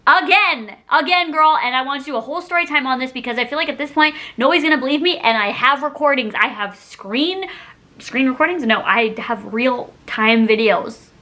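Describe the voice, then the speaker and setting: Young woman doing a storytime vlog, kinda high super bright voice, chatty n dramatic, giggly, rambles off topic. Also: brisk. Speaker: YouTube storytime vlogger. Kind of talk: casual storytime chat to camera.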